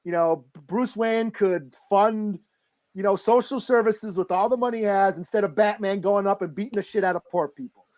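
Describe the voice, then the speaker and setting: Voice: mid-range voice, normal speed, darkish tone. A podcast co-host chatting and debating casually, in informal, slangy language with plenty of swearing. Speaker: podcast co-host discussing comic books.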